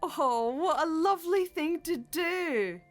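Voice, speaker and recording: A woman playing a chatty, motherly middle-aged housekeeper in a gently comic audio drama, with a bustling, colloquial, exclamatory manner. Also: very high-pitched, lively intonation, takes it slowly, faint music behind, heavily acted. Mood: chatty, amused.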